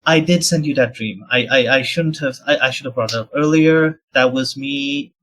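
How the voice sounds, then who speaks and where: A man's voice: mid-range voice, moderate pace, fairly bright tone, natural voice. A man voicing a nervous young man who stammers and keeps apologizing. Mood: flustered.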